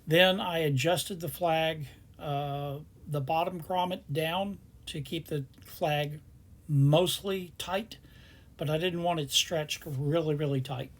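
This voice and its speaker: A man hosts a do-it-yourself tutorial in a somewhat high-pitched voice. He narrates in a plain, step-by-step way, explaining the job as he goes. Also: fairly even intonation, slow. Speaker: DIY tutorial host.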